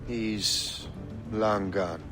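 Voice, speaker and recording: Young, resolute rogue captain for a historical game: lower register, terse and earnest, faint score underneath. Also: male voice, fairly even intonation, very slow, music in the background. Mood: composed. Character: brooding young sea captain, principled, resolute rogue assassin. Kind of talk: video game cutscene acting.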